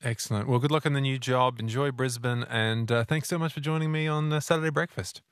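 Brief: Australian breakfast radio host, relaxed chatty delivery, dry close studio mic. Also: male voice, medium pitch, fast, clear, resonant tone, no echo. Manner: easygoing, chatty questions with stumbles. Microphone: studio microphone.

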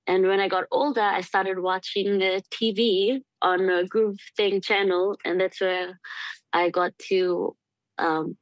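A young woman from Fiji with a Fijian accent, a singer answering interview questions shyly and haltingly. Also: mid-range voice, fairly even intonation, no echo, poor audio. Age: young adult. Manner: shy, laughs often, halting. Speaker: singer, guest. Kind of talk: casual interview.

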